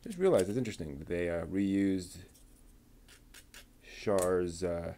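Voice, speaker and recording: Slow, low-voiced man muttering as he builds. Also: mellow, a little hiss or hum. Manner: muttering to himself while assembling; slow, halting, with many pauses. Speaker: model kit builder. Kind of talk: casual build narration.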